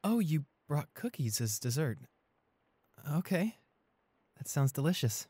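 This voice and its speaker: A man playing a shy, anxious, devoted young boyfriend in an intimate roleplay audio, speaking softly and hesitantly with small stammers. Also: fairly high pitch, sing-song, measured pace, slightly rough, no echo, exaggerated voice. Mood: calmer, hesitant. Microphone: close studio condenser microphone.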